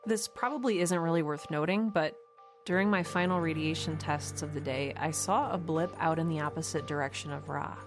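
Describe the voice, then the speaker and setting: An actress playing a matter-of-fact woman scientist recording a measured log in an audio drama. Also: deep voice, over music. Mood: pensive. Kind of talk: scripted audio drama monologue.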